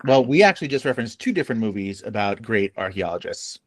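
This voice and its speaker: A podcast co-host in casual book club banter, playful and quick to wander off on tangents. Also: medium pitch, big pitch swings, no room sound.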